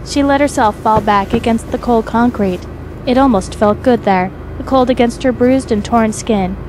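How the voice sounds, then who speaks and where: Higher-pitched woman narrating over music. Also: moderate pace. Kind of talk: scripted narration of fan fiction audio drama. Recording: music behind the voice.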